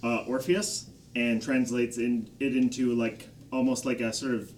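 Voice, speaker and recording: A man's voice: mid-range voice, flat delivery, unhurried, darkish tone. A man explaining something in casual conversation. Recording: slightly distant mic, faint background noise.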